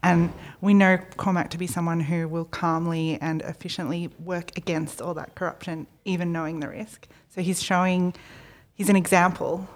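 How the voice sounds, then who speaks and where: A woman's voice: on the low side, level tone, moderate pace, hardly any pauses. A woman co-hosting a podcast, speaking with enthusiasm and effusive praise about a book. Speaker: podcast co-host. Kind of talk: casual podcast chat.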